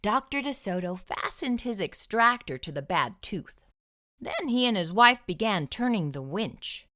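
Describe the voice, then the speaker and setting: Bright, animated woman reading a storybook. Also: mid-range voice, a little slow. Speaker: children's storytime host and reader. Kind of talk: expressive children's read-aloud narration.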